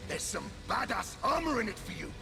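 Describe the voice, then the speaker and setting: An actor playing a vain, touchy, blustering god of war in a video game, bombastic and comically dramatic. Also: male voice, very high voice, big pitch swings, brisk, creaky, over music, heavily acted. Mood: bossy, boastful. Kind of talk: video game cutscene acting.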